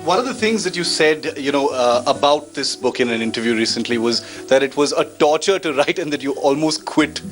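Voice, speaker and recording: A man's voice: a little high, a little fast, hardly any pauses, soft background music. Effusive male TV interviewer. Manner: effusive, flattering, rambling questions. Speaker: interviewer, TV host.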